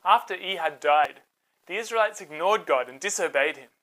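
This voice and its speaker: Animated woman storyteller. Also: on the low side, normal speed, low-quality recording. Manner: dramatic, expressive. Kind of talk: scripted children's Bible story narration.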